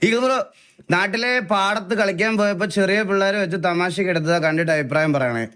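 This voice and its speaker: High-voiced young Malayali man reacting casually. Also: fast talker, slight background noise. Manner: animated slangy exclamations, repeats phrases. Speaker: reaction streamer. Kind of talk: casual live reaction chat.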